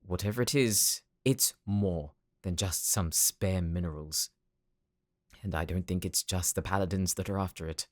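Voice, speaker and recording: A man's voice: low-pitched, normal speed. A man playing a frank, practical adventurer in an audio drama, explaining things in a straightforward way. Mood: serious, candid. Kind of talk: scripted audio drama roleplay.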